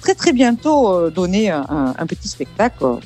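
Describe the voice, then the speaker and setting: A woman's voice: fairly low pitch, sing-song, moderate pace, mellow, soft background music. A woman in her fifties from the south of France, a singer being interviewed, hesitant and repeating her words. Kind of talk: casual interview.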